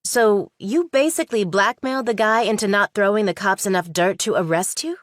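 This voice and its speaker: A woman's voice: medium pitch, fairly quick, no echo, clean studio sound, close to an everyday voice. A woman playing a shrewd young law student in an audiobook, direct, questioning and analytical. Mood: analytical, challenging. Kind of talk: audiobook character dialogue.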